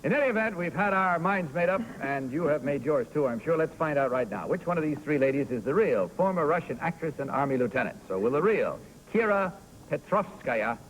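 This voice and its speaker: A man hosting a 1950s TV game show with brisk, genial announcer delivery. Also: on the high side, animated. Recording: noisy 1950s TV broadcast.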